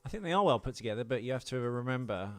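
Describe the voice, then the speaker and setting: A man's voice: medium pitch, sing-song, rapid-fire, faint music behind. A middle-aged British man co-hosting a podcast, chatting casually about films in an opinionated, jokey, sweary way. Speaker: podcast co-host. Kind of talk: casual film chat.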